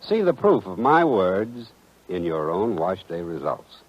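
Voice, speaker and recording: Male 1940s radio pitchman: lowish, very lively intonation, husky, persuasive, muffled vintage recording. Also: measured pace. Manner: direct, persuasive address to the listener. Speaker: announcer.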